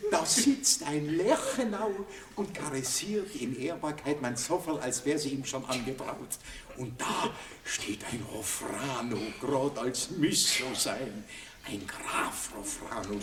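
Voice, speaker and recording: A man's voice: medium pitch, expressive, takes it slowly, far from the mic, crowd noise. An actor playing a status-proud, newly ennobled father in a radio play, obsequious and self-important, with a Viennese accent. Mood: delighted, boastful. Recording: echoing old radio recording with crowded background.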